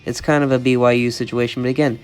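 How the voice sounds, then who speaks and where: A man's voice: medium pitch, quick pace, clean tone, faint music behind. A young man with a cold, sounding congested, giving college football predictions in an opinionated, repetitive way as a channel host. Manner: monotone, opinionated, repeats "I think".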